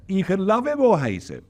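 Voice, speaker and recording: Outspoken man with a lively voice. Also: sits high. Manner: emphatic and outspoken, with wry asides. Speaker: interview guest, politician. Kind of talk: casual interview talk.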